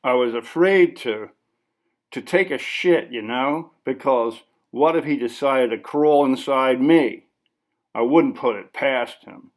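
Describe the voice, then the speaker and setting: A man's voice: mid-range voice, slow, lightly acted. A man voicing an agitated, paranoid, foul-mouthed male artist in a dramatic short story reading, ranting and insistent. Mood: brooding, irritable.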